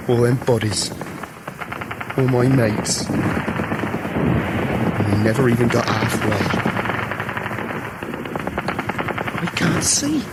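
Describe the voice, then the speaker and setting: An actor playing a wounded young soldier and morris dancer of the First World War in a radio play, earthy, sweary and bewildered. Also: male voice, fairly high pitch. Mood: frightened, anguished. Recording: noisy radio drama with battle sounds.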